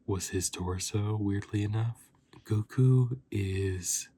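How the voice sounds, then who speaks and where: A man giving a softly spoken ASMR review, hushed and sometimes slipping into whispers. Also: low-pitched, slight background noise. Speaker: host of an ASMR review channel.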